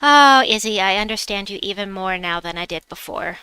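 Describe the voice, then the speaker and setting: A woman's voice: medium pitch, animated, a little fast, bright, forceful tone, clear, resonant tone, no echo, low-quality recording. A woman with a slight New York accent, streaming a game and chatting with her viewers as she plays. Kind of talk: casual live-stream chat while gaming.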